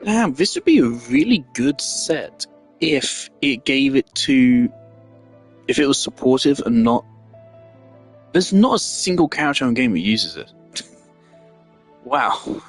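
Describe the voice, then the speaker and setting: A man's voice: medium pitch, sing-song, measured pace, pauses often, husky. A man giving casual game commentary, rambling and thinking aloud as he plays. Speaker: gamer. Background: faint game music.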